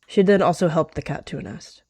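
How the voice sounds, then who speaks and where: A woman's voice: deep voice, brisk, mellow, breathy edge, no room sound. A woman narrating and voicing an animal roleplay in the third person. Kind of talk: narrated text roleplay, read aloud.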